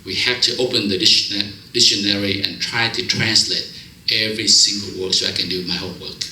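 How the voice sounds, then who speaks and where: Bright-voiced man with a Vietnamese accent. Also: fairly low pitch, a little fast, reverberant, a little hiss or hum, rough recording. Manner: broken English, joking, lively storytelling. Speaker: guest speaker. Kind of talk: personal testimony speech. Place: church fellowship hall.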